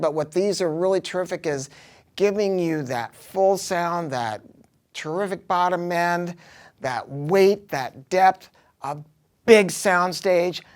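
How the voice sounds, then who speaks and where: A man giving a casual product review, talking informally and emphatically with some salty language. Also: sits high.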